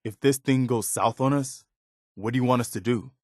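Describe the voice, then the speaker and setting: An actor voicing an earnest, resolute militia leader who rallies settlers, in video game dialogue. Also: male voice, mid-range voice, moderate pace, darkish tone, no echo, studio quality, lightly acted. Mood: businesslike.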